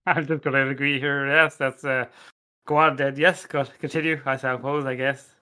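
A man's voice: mid-range voice, level tone, moderate pace, pushed and bright, smooth, close to an everyday voice. A man playing a flustered, bumbling junior detective in an unscripted comedy radio play, stammering, hesitant and apologetic. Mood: floundering. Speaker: podcast panellist.

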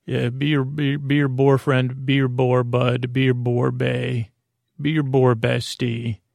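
Creaky, monotone man rambling softly. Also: mid-range voice, no room sound, clean studio sound. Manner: meandering, halting, full of tangents; whimsical, playful. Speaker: podcast host. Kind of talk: rambling bedtime-story podcast monologue.

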